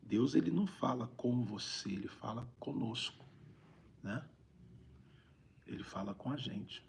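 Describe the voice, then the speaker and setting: A Brazilian man with a raspy, gravelly voice, a preacher talking conversationally on a live stream and repeating phrases for emphasis. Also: medium pitch, expressive, measured pace, a little hiss or hum. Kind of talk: informal livestream preaching, reading viewer greetings.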